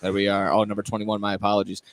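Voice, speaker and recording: A man announcing a high school basketball game live on radio in an upbeat, chatty way. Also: monotone, rapid-fire. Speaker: play-by-play sports announcer. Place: indoor high school gym. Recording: live gym broadcast with clear background noise.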